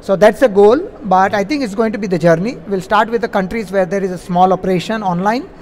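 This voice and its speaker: A man's voice: high voice, fast, a little mellow. A man answering questions as a conference speaker on stage, talking wordily and padding sentences with a habitual "like, you know". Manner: wordy, repeats "like, you know" as a verbal habit. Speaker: conference speaker, software implementation consultant. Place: on stage in a large conference hall. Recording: faint background noise, live event.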